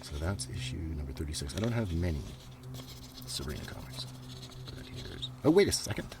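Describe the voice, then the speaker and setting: A man hosting ASMR, rambling softly and unhurriedly in muttered asides that often drift into a whisper, recorded outdoors. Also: rumbling low, normal speed, creaky. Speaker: ASMR video host. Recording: noisy outdoor recording, breeze and handling sounds. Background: steady ambient noise, breeze, writing and page sounds.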